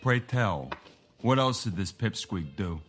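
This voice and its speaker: A man's voice: lowish voice, lively intonation, unhurried, fairly bright tone, faint music behind. A man playing a sardonic, condescending crime boss who speaks with formal politeness in an audio drama. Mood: sardonic, measured. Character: smooth, condescending male gangland crime boss.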